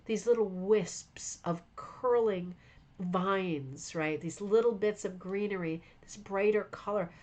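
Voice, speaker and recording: A woman's voice: mid-range voice, takes it slowly, darkish tone. A woman hosting a rug hooking club stream, tired and losing her voice, talking enthusiastically and rambling while chatting with viewers by name. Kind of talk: live stream show-and-tell chat.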